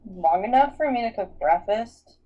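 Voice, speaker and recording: A woman's voice: medium pitch, lively intonation, normal speed, phone-quality sound, lightly acted. A woman reading a bossy, no-nonsense teenage girl in a story, with a dry, matter-of-fact delivery. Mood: dry teasing.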